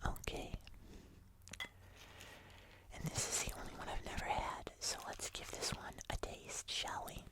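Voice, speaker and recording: Whispering ASMR host, casual and hushed. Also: a little slow, pauses often. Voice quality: breathy unvoiced whisper. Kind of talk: whispered ASMR food review, casual chat.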